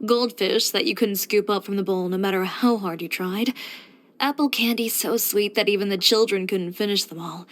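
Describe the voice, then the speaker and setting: A woman's voice: mid-range voice, moderate pace, lightly acted. An actress playing a headstrong, composed young woman who runs a boutique, speaking frankly and with dry confidence, as video game character voice lines. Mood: nostalgic. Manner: matter-of-fact, frank, dryly confident delivery.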